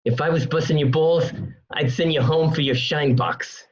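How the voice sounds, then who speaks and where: A man playing a cocky fifty-year-old New mobster, teasing loudly and familiarly in a dramatic screenplay read. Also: a little high, fairly even intonation, moderate pace, natural voice. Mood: mocking. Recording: dull lo-fi home recording.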